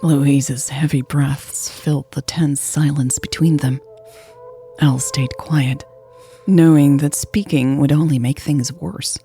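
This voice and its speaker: A woman's voice: sits low, fairly even intonation, slow, mellow, faint music behind. A woman narrator reading scripted romantic fiction as a storyteller.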